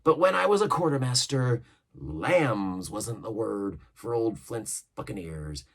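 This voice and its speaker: A man's voice: on the low side, very animated, a little slow, pitched above the performer's own voice, exaggerated voice. A man voicing a scheming older pirate cook who talks in a coaxing, boastful seaman's way.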